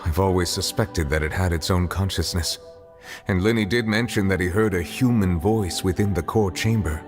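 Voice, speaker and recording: A man acting as a solemn male dragon sovereign and judge from a fantasy game. His voice is low and his manner formal, reserved and dignified. Clear background music runs under his deliberate lines. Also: lightly acted. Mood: grave, probing. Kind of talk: dramatic video game voice acting. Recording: music behind the voice.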